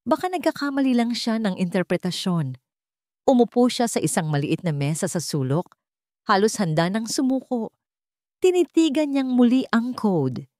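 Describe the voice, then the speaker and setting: A woman's voice: on the high side, very animated, normal speed, dark tone, dry recording, clean studio sound. A woman narrating a scripted story in a dramatic storytelling style.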